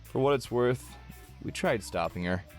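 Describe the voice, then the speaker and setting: A man voicing a tired, easygoing, gentle man in a visual novel, with a weary, resigned, low-key manner. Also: lively intonation, moderate pace, soft background music, close to an everyday voice.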